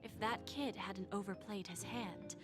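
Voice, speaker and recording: An actress playing a poised, enigmatic pawnshop boss in a video game, with a breathy, silky, unhurried delivery. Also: female voice, mid-range voice, fairly even intonation, natural voice. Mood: calm, knowing. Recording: loud music under the voice.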